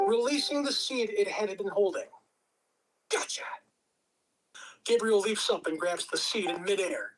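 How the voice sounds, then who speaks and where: A man's voice: high voice, rough recording. A man reading stage directions as narrator, in scripted dramatic narration. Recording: amateur home recording.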